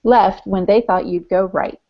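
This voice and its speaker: A woman's voice: mid-range voice, fairly even intonation, unhurried. A woman co-hosting a podcast, chatting casually and informally. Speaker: podcast co-host. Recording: dull lo-fi audio.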